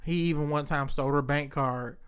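A man's voice: on the high side, flat delivery, a little fast, clear, resonant tone. A man hosting a casual podcast, joking and teasing his co-hosts and laughing often. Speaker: podcast host.

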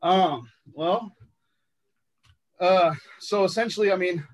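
Halting man from Los Angeles. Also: high-pitched. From Los Angeles, United States. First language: sign language. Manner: reflective and halting, many ums and uhs. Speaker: podcast guest. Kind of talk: telling his life story in a casual interview.

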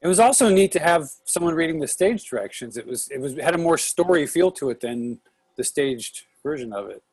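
A man's voice: on the high side, expressive, a little fast, darkish tone, husky. A man talking casually in a discussion after a play reading.

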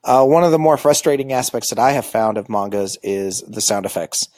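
Halting low-voiced man giving a lesson. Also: lively intonation, darkish tone, no echo. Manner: halting, many ums and uhs. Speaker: school librarian teaching manga basics. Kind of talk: informal lecture.

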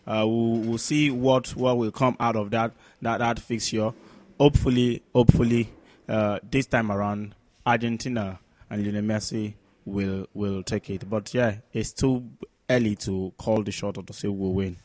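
A man co-hosting a sports talk show, running through scores and news in a halting way with repeated words. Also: fairly low pitch, fairly even intonation, darkish tone. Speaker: co-host, sports pundit.

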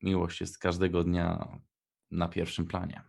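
A man's voice: sits low, completely flat delivery, unhurried, gravelly, darkish tone, breathy edge. A young man co-hosting a radio show in casual chat, with informal, hesitant phrasing. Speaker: radio co-host.

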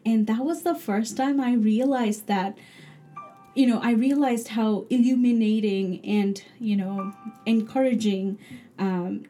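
A young woman speaks with a Bangladeshi English accent in a soft, mellow tone. She pauses often, fills gaps with "um" and "you know", and restarts her sentences. She sounds like a graduate student answering in a casual interview. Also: on the high side, soft background music. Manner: hesitant, halting, many fillers and restarts. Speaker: PhD student guest.

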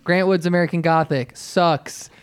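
A man's voice: on the high side, fairly even intonation, moderate pace, smooth, no room sound. A man hosting a podcast in a casual, playful and wry way. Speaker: podcast host.

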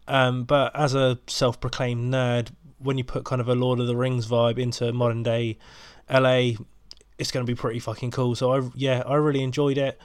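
Brief: male podcast host with a head cold, nasal and stuffy, monotone chatty delivery, dry close-miked sound. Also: mid-range voice, moderate pace, few pauses, clear, resonant tone, no room sound. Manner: rambling, many ums and restarts. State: bunged up with a cold. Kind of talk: casual solo podcast intro.

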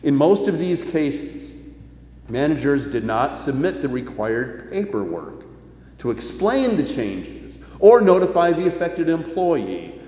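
A man preaching a sermon in a deliberate, emphatic way, with rhetorical phrasing. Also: a little high, big pitch swings, mellow. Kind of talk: sermon, partly reading quoted news aloud. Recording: echoey church hall, slightly dull sound.

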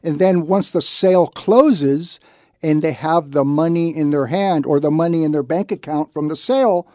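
A man presenting a conversational advice segment on the radio. His voice sits a little high but is soft-edged and mellow, recorded very dry. He makes his points in short, repeated clauses with clear emphasis. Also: normal speed, dry recording. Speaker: radio show host and reverse mortgage loan officer. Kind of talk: conversational explanatory radio talk.

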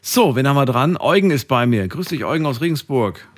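A man hosting a late-night radio call-in show in German, chatty and given to laughing with his callers. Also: brisk. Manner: chatty, laughs often, lively pitch swings. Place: radio studio.

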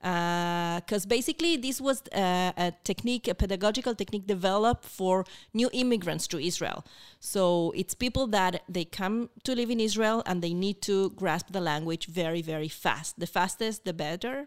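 A woman's voice: mid-range voice, normal speed, smooth. A woman in her forties with an Argentine accent, a native Spanish speaker, giving casual explanatory answers as an interview guest. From Buenos Aires, Argentina. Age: 40s. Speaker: interview guest, university international student program staff.

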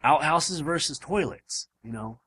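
A man hosts a relaxed podcast in a room at home. His voice is hoarse and wearing thin, yet it keeps a bright, forward edge. He rambles and restarts often, filling the gaps with ums. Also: a little high, a little slow. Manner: rambling, with many fillers and restarts. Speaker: podcast host. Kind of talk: casual chat.

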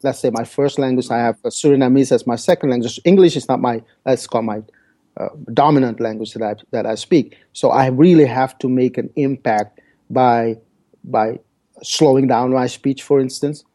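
Male podcast guest, Dutch first language, mellow and halting. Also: mid-range voice. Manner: hesitant, repeats words while searching for phrasing. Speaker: guest speaker. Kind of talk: podcast interview.

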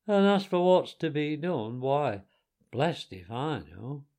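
A grumpy storybook mole, voiced by a man, raised in pitch and put-upon. He grumbles along emphatically, with a lively rise and fall. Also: slow pace, full character voice.

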